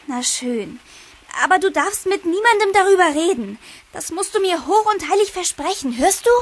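A woman's voice: very high-pitched, expressive, normal speed. An actress playing a shy, insecure, hesitant schoolgirl guarding a secret in a radio play. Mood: anxious, reluctant. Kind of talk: German radio play acting for young listeners.

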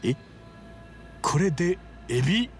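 An actor voicing a frank, big-hearted hero in a Japanese video game dub, speaking rough, emphatic casual masculine talk. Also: male voice, high-pitched, very animated, slow, soft background music, heavily acted. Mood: confident.

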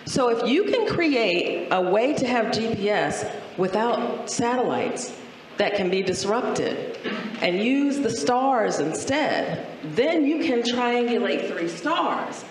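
A middle-aged woman with an American accent, explaining emphatically in a public talk on an auditorium stage with a handheld mic. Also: medium pitch. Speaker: space agency executive, guest speaker. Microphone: handheld microphone. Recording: very echoey hall, faint noise.

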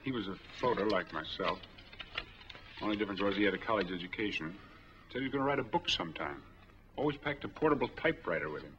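An American actor in his forties playing a smooth, easygoing drifter in a 1940s film drama. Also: on the low side, animated, quick pace, a bit bright, a little hiss or hum. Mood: easygoing, plain. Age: 40s. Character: smooth, easygoing drifter applying for bank money. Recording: dull old 1940s film soundtrack.

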